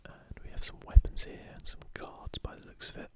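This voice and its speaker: A man hosting an ASMR video in a breathy, unvoiced whisper close to the microphone, with hesitant, informal commentary. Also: a little fast.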